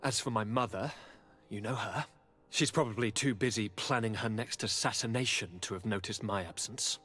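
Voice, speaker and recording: An actor playing a young noble governor in a video game, sickly and restless, with a theatrical, courtly and expressive delivery. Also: male voice, fairly low pitch, moderate pace, slightly rough, soft background music. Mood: breezy, wry. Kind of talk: video game cutscene dialogue.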